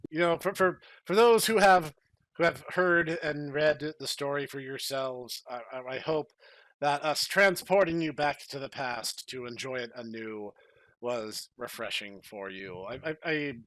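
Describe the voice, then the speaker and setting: A man's voice: medium pitch, unhurried, a bit bright, no echo, poor audio. A man hosting a podcast in casual chat, with a jokey, playfully theatrical way of phrasing things. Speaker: podcast host.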